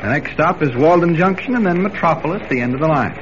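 A man playing a calm, mildly teasing newspaper reporter in 1940s radio drama, patient and offhand. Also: fairly high pitch, quick pace, close to an everyday voice. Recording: muffled, noisy old radio recording.

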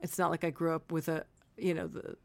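Woman guest from Miami, low-ish voice, chatty and rambling, dry studio sound. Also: flat delivery, fairly quick, creaky, a little mellow, dry recording. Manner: chatty, rambling, frequent ums. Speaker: guest artist. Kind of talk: casual interview chat. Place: radio studio. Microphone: shared microphone.

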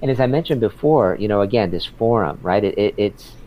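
Low-voiced man saying "right?" a lot. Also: expressive, brisk, lo-fi sound. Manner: earnest, tags many sentences with "right?". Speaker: interpreter. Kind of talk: casual conversation.

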